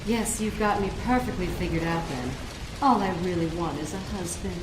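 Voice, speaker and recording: An actress playing a tough, sassy noir lounge singer and gunslinger, sardonic and unflappable. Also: female voice, on the low side, normal speed, lightly acted. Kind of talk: noir audio drama acting. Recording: echoey, noisy track with rain.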